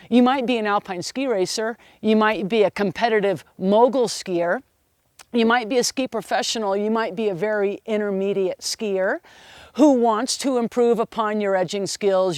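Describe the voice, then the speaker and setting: A middle-aged woman ski instructor giving an opinionated lesson on equipment in a strong, loud, emphatic voice. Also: a little high, no room sound, clean studio sound. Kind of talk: instructional video talk.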